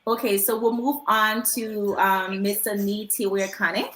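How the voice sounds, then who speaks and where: A woman's voice: medium pitch, steady tone, unhurried, a bit bright, reverberant, rough recording. A woman moderating an online panel, asking guests polite questions over a video call, with frequent fillers.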